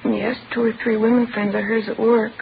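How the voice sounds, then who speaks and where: A thin, faintly hissy old radio crime drama recording carries a troubled teenage girl. Her voice is fairly high with a marked creak, and she answers in short, halting, bitter phrases. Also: level tone. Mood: subdued, guarded. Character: distraught, bitter teenage daughter of a murdered woman. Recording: tinny, faintly noisy old radio broadcast.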